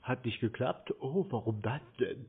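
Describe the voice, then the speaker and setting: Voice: medium pitch, moderate pace, breathy edge. Naively questioning person on tinny phone. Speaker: prank call recipient playing along. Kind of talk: phone conversation. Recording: noisy, tinny phone-like sound.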